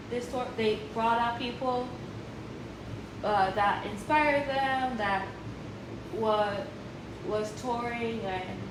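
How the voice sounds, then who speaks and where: A woman's voice: a little high, echoey room, noisy background. A woman in her thirties chatting casually and enthusiastically in a vlog, rambling with many fillers and restarts. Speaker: vlogger.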